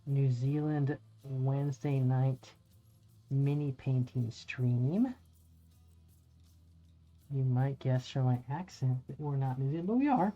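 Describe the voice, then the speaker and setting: A middle-aged man chatting casually on a live stream while painting miniatures, rambling and swearing now and then as he talks to viewers. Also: medium pitch. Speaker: streamer and dungeon master. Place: home room by a window. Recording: dull lo-fi audio with faint music.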